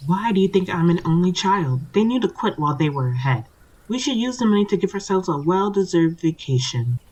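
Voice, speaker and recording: A woman's voice: fairly low pitch, big pitch swings, fairly quick, darkish tone, husky, highs cut off. A woman voicing a disgruntled husband, a small-town cop with a dry, sarcastic, grumbling manner, in an audio short story. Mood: dry, sardonic.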